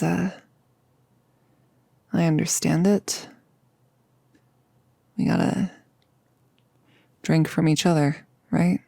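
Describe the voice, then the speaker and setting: A close, dry ASMR roleplay voice with a mellow tone: a performer acting as a nervous girlfriend who jokes to cover it. The delivery is halting and confessional, unhurried, dipping into whispers. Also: medium pitch, creaky, no echo, exaggerated voice. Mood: playful, tender. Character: nervous, joking girlfriend confiding before becoming a vampire. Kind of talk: intimate ASMR audio roleplay.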